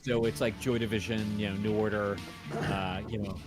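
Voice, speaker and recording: Man, low pitch, casual chat, rambling, digressive, music behind the voice. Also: level tone, normal speed.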